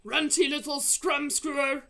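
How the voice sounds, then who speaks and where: Woman acting a huge brutish male giant, loud, raised pitch, bullying, bellowing, broken grammar, storybook villain. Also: flat delivery, measured pace, exaggerated voice. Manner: bellowing insults in broken, made-up-word grammar.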